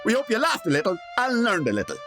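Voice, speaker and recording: Theatrical male narrator, hugely animated pitch. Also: fast. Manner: theatrical, wry. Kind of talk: scripted comic narration. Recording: loud music behind the voice. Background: musical score.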